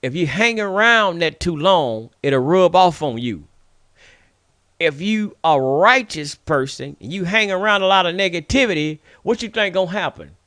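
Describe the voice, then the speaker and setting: A man's voice: high-pitched, expressive, moderate pace, fairly bright tone, no room sound. Fired-up preachy man, dry sound. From United States. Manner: preachy, scolding, repetitive emphasis. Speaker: radio show host. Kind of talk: impassioned monologue.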